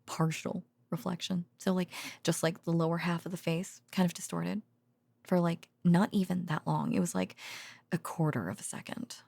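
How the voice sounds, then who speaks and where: A woman voicing a tender, weary researcher girlfriend in an intimate ASMR audio roleplay, speaking softly and hesitantly, partly in whispers, close to the microphone. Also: on the low side, moderate pace, dry recording. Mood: hushed, confiding. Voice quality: soft, breathy, partly whispered. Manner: hesitant, drowsy, musing, with sighs and soft chuckles.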